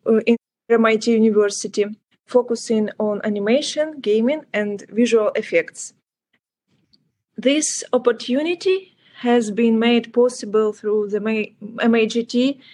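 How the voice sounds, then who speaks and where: A woman's voice: a little high, darkish tone. A young woman from Ukraine with a Ukrainian accent, speaking careful, earnest English as a guest on an interview podcast. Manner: careful, earnest non-native English with some restarts. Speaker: guest, student.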